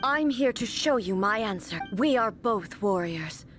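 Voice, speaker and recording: A woman's voice: mid-range voice, expressive, measured pace, fairly bright tone. A woman voicing a majestic, solemn immortal ruler and warrior in a video game, speaking in a formal, imperious way. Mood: solemn, calm. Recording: music behind the voice.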